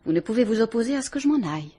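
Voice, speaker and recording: A woman's voice: fairly high pitch, animated, racing, warm tone, husky. A woman playing a cool, defiant murder suspect, speaking in an icy, haughty, sarcastic manner in a vintage radio drama.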